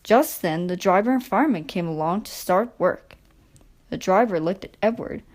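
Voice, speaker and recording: A woman's voice: medium pitch, expressive, normal speed, darkish tone. A woman reading a children's story as a scripted storybook narration.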